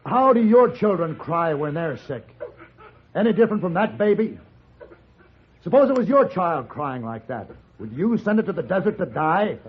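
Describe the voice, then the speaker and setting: An actor playing a calm, resolute frontier gunman in an old-time radio western, speaking firmly and deliberately. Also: high-pitched. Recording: dull, noisy old radio recording.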